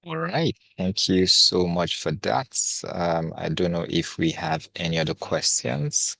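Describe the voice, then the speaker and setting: A man's voice: low-pitched, lively intonation, a little slow, vocal fry, a bit bright, dry recording. A man moderating a question-and-answer session on a video call, keeping his turns short.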